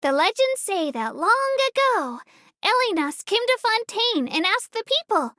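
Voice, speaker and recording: A little female creature working as a ferry tour guide in a fantasy video game. The voice is cute, girlish and extremely high, with animated, swooping intonation. It is eager, polite and full of childlike enthusiasm, captured close and dry. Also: a little slow, no room sound, full character voice. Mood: bright, welcoming. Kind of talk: scripted video game character narration.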